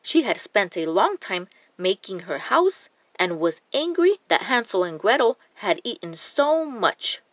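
A woman narrating a children's storybook in a deliberate, expressive reading style. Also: mid-range voice, dry recording.

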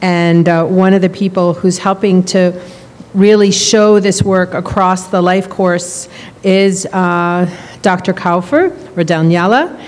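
A woman giving a relaxed, chatty introduction of the next speaker at a stand mic. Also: on the low side, slow. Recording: reverberant hall.

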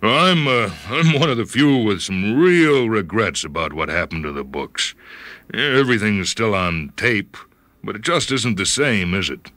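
A man's voice: lowish voice, animated, unhurried, bright, forceful tone, no room sound, pitched above the performer's own voice. A man voicing a powerful, patronizing, wistful corporate executive in a story reading, with a genial, hesitant manner. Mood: wistful, regretful.

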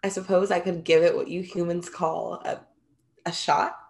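Young woman doing an earnest muse character, kinda low voice, very formal and careful wording, stage play reading. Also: flat delivery, normal speed, vocal fry, darkish tone. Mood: tender, decided. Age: young adult. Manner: formal, precise, avoids contractions. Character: earnest, formal immortal female muse in love with art. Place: indoor room at home, video call.

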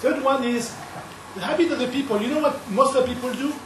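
High-voiced man in a roomy hall. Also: quick pace. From Europe. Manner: animated, explaining with points in order. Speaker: panelist. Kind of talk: panel discussion answer. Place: conference hall panel table. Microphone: table mic, close camera. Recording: roomy hall, distant mic, noise.

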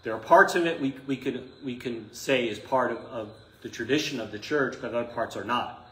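A man's voice: mid-range voice, brisk, pushed and bright. A middle-aged man, a priest giving an informal class, conversational and emphatic, with rhetorical questions and asides. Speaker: Orthodox priest teaching a class. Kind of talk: informal live lecture to a class. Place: small indoor classroom. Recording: echoey room, some noise, mic at a distance.

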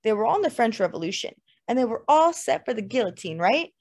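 Lady doing a chill young guy telling a joke in a story, chatty, pitched a bit up. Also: sing-song, fast, darkish tone. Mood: joking. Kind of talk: dramatized literary audiobook dialogue.

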